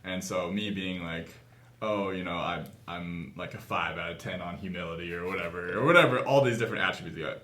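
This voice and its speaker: A man's voice: low voice, fairly quick, pushed and bright. A man in his twenties chatting casually as a guest in an interview, seated in a meeting room. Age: 20s. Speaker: author and guest. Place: small office meeting room, seated at a table.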